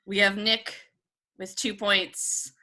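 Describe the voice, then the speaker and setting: Bright-voiced, unhurried woman hosting a game. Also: mid-range voice, little pitch movement. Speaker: game show host. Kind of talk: hosting a comedy panel game. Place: at home on a video call. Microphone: video call microphone.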